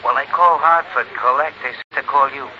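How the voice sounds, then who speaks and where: An actor playing a furtive, pushy informant, terse and secretive, in a 1950s radio drama. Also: male voice, mid-range voice, brisk, close to an everyday voice. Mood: furtive, insistent. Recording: dull 1950s radio recording, faint noise.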